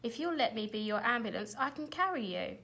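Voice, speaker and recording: A woman's voice: on the high side, lively intonation, fairly quick, gravelly, bright, forceful tone, exaggerated voice. A woman voicing a keen, earnest young male dragon pupil from a storybook, in an exclamatory manner. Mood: eager. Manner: eager, exclamatory.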